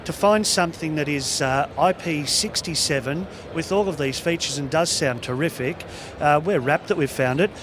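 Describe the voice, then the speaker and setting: Higher-voiced man, clear noise behind. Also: moderate pace. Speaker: interviewer from an audio shop. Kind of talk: casual on-location product interview. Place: busy trade show.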